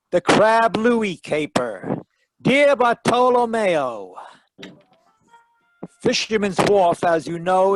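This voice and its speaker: An actor playing a wry, hardboiled private detective in an old-time radio drama, narrating in dramatic noir fashion. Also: male voice, high voice, no echo, poor audio.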